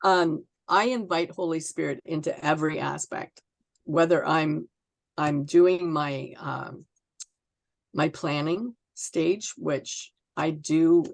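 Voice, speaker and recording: Low, creaky-voiced woman, slow and reflective. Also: steady tone, no room sound. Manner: reflective, halting, pauses to find words. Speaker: author being interviewed. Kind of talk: conversational interview answers.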